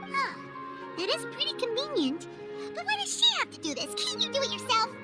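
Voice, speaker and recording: A woman voicing a tiny, excitable, naive childlike fairy companion in an animated video game, with a squeaky voice. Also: fairly quick, full character voice. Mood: puzzled. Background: loud music.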